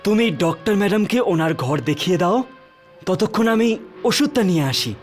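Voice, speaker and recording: A man voicing an anxious, polite young son, earnest and pleading, in an animated story. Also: high-pitched, fairly quick. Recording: music behind the voice.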